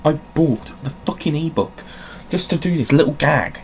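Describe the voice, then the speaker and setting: A man's voice: on the high side, animated, normal speed, a little hiss or hum. A man hosting with a dry, self-mocking manner, moving between theatrical storybook reading and casual, sweary rambling. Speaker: host of a gaming channel.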